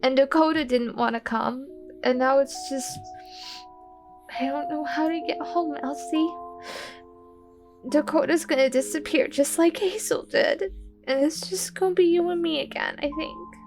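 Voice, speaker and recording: Woman playing a lonely, anxious lost girl, slow-spoken. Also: high-pitched, over music, voice pushed up. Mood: sad, anxious. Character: frightened, lonely lost girl in fantasy adventure.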